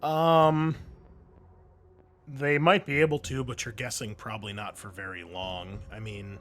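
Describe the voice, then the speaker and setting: A man's voice: medium pitch, fairly even intonation, measured pace, fairly bright tone. A man running a tabletop roleplaying game as game master, mixing improvised narration with conversational explanations to his players. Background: music under the voice.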